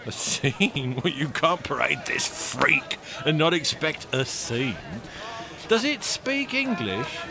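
An actor playing a mocking, menacing, bigoted London bully in a radio drama, with a taunting, sneering delivery. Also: male voice, on the high side, sing-song, takes it slowly, gravelly, a crowd in the background, exaggerated voice.

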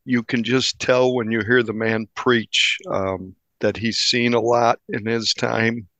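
Unhurried man in a dry recording. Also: medium pitch, little pitch movement, a bit bright, dry recording. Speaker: journalist being interviewed. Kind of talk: conversational interview.

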